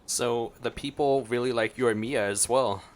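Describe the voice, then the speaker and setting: A man's voice: medium pitch, steady tone, normal speed. A man giving casual reaction commentary as he plays a video game.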